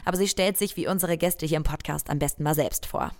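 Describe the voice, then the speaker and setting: A woman host reading a scripted podcast introduction in a clear, friendly voice. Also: low-pitched, steady tone, fast talker.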